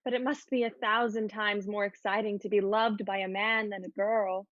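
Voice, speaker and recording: A woman's voice: mid-range voice, flat delivery, moderate pace, no room sound, close to an everyday voice. A woman playing a happy, self-assured, romantic teenage schoolgirl in a dramatic reading of a period play, in slightly dull sound. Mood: proud, earnest.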